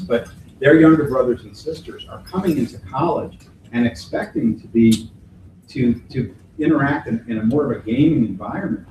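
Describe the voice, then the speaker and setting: Man answering questions in an echoey hall. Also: medium pitch. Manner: thoughtful, restarts phrases, folksy sayings. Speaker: conference keynote speaker. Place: hotel conference hall. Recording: echoey hall from far off, faint noise.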